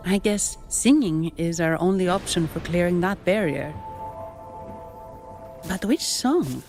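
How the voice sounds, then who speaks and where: A woman voicing a composed, inquisitive young adventurer in a video game, with a measured, matter-of-fact manner. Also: fairly low pitch, animated, a little mellow, faint music behind. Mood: pondering, practical.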